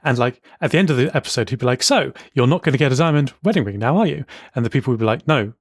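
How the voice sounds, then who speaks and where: A man of middle pitch co-hosting a podcast, relaxed and chatty. The recording is dry and close, with no room sound at all. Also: racing, dry recording. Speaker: podcast co-host. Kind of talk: casual chat.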